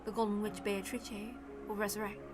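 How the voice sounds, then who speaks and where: A woman voicing a resigned, dispassionate woman in a story reading, speaking in a measured, calm way. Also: medium pitch, over music, lightly acted.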